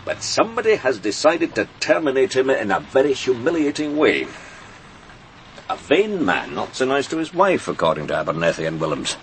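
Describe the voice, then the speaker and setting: Casting: world-weary police boss for radio crime drama, sardonic, medium pitch. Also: male voice, big pitch swings, normal speed, fairly bright tone, slight background noise. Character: harried senior police detective, weary and dryly sardonic. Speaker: senior police officer.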